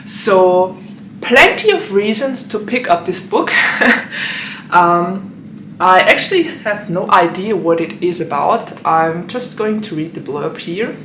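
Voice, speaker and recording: A woman with a slightly raspy, hoarse voice, chatting casually about books in her vlog and giggling often between phrases. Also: on the low side, animated, takes it slowly, fairly bright tone, slight background noise. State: hoarse from allergies. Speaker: book vlogger. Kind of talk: casual book vlog chat. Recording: echoey room.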